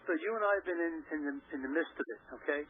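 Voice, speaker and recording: A man calling a radio show, chatting in a casual, halting way over a tinny phone line. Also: fairly high pitch, a crowd in the background.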